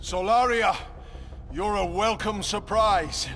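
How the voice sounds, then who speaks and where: An actor playing a fierce, righteous space marine commander in a video game, with commanding, grim and defiant delivery. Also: male voice, high voice, fairly even intonation, slow, fairly bright tone, full character voice. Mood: grave.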